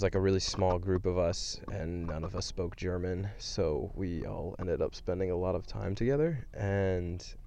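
A man's voice: deep voice, creaky, mellow, muffled recording. A man co-hosting a podcast in casual chat, recorded inside a van on an inexpensive USB microphone. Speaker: podcast co-host. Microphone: cheap USB microphone.

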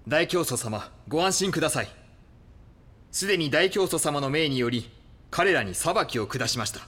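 An actor playing a cold, fanatical cult enforcer, stern and preachy with polite menace, in an audio drama. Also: male voice, a little high, lively intonation, normal speed, bright, forceful tone. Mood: cold, righteous. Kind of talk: Japanese audio drama acting.

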